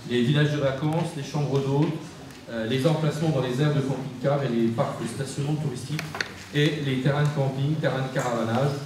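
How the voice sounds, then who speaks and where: A man delivers a formal council report in a mellow voice. He proceeds haltingly, pausing and doubling back on words. The sound is very reverberant and picked up from a distance. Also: mid-range voice. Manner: halting, hesitant delivery with frequent pauses and repeated words. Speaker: council vice-president presenting reports. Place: large indoor council meeting room. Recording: echoey council hall, distant mic, faint noise.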